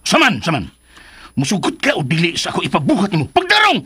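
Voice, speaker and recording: An actor playing an obsessed, scheming older man in a radio drama, excitable and hot-tempered, blustering with a Filipino Cebuano accent. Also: high-pitched, a little fast, breathy edge, no room sound, clean studio sound, exaggerated voice. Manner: agitated, blustering, swings from muttering to yelps. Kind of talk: Philippine radio drama acting.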